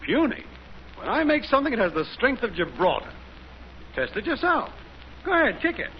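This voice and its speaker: A man's voice: extremely high pitch, very animated, a little fast. An actor playing a breezy, teasing, smugly boastful neighbor in a 1950s radio sitcom, with pompous salesman-like flourishes. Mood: indignant, boastful. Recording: muffled, hissy 1950s radio recording.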